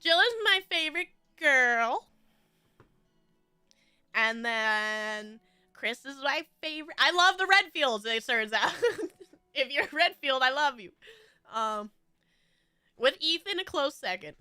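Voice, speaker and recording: Stuffy-nosed woman streamer, high and lively, giggly rambling chat. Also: slow, long pauses, no echo, faint music behind. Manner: bubbly, rambling, frequent giggles. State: stuffy nose. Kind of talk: casual chat with viewers.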